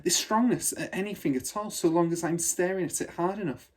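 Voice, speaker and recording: A man voicing an eager, inquisitive, excitable young schoolgirl from a children's storybook, in a breathless manner. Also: sits high, brisk, pitched above the performer's own voice. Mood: excited. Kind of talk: children's storybook read aloud.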